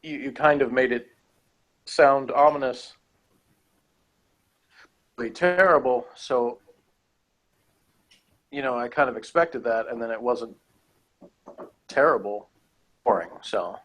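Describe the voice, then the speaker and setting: A man's voice: mid-range voice, takes it slowly, pauses often, a bit bright. A man in a casual review chat, rambling and hedging as he argues his points as a co-host. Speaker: podcast co-host reviewing TV episodes.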